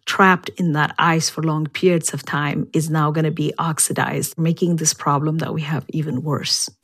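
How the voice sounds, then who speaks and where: A woman speaking as an expert guest in an interview, explaining science in a measured way. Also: sits low, fairly even intonation, a bit bright, breathy edge.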